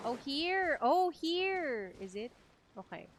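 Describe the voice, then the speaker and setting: Woman game streamer, native Filipino speaker: high voice, unhurried, casual, exclamatory thinking aloud. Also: very animated. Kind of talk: casual live gameplay commentary.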